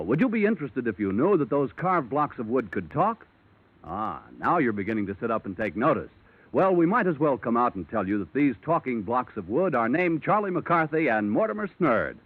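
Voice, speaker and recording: A man working as a 1940s radio announcer, playfully addressing the listener in a promotional style. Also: medium pitch. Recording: thin, phone-like old radio recording.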